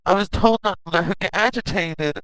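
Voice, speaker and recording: A man's voice: high-pitched, a little fast, a bit bright, clean tone, rough recording. A man playing an awkward, blunt and defensive character in an amateur audio drama. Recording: home recording with music behind.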